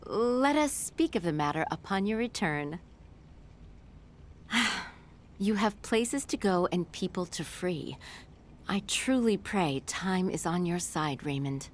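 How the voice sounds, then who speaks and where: An actress playing a poised, courteous female director of a magic institute in a video game, measured and formal. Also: on the low side, expressive, slight background noise. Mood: grave, sincere.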